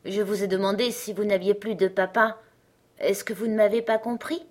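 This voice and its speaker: A woman's voice: mid-range voice, fairly even intonation, normal speed, darkish tone, lightly acted. A woman voicing a sweet, eager and generous little girl in a storybook reading. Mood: kind, earnest.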